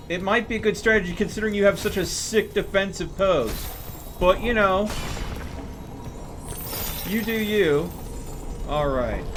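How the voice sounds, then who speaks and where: A man giving casual gameplay commentary as he streams a video game. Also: on the high side. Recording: prominent game music behind voice.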